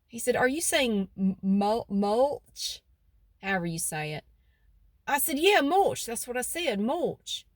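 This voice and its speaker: A woman's voice: on the high side, very animated, slow pace, no room sound, clean studio sound. A middle-aged woman with a central North Carolina accent, rambling chattily in a folksy vlog, her nose a bit stuffy. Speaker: vlogger. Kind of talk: casual vlog chat. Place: outdoors by her house.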